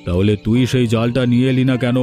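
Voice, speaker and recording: A man's voice: mid-range voice, fast, mellow, natural voice. Cartoon villager role, male actor: greedy rich schemer, insistent and blustering, slightly muted delivery over faint music. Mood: demanding. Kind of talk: Bengali cartoon story voice acting.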